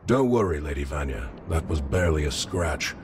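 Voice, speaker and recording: An actor playing a proud, determined fallen warrior lord in a medieval fantasy game, speaking with terse self-assurance. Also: male voice, a bass voice, lively intonation, normal speed, background noise. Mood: assured.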